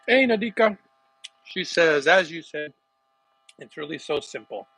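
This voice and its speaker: A middle-aged man chatting casually with livestream viewers while making a smoothie in his home kitchen, rambling from one thought to the next. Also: on the high side, expressive, a little slow, pushed and bright, no room sound. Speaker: wellness vlogger.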